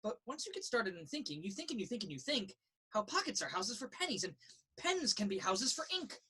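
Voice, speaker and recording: Lively, husky woman reading a picture book. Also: medium pitch, brisk. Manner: sing-song, rhythmic delivery. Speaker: story reader. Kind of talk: children's picture book read aloud. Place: indoors in a children's library.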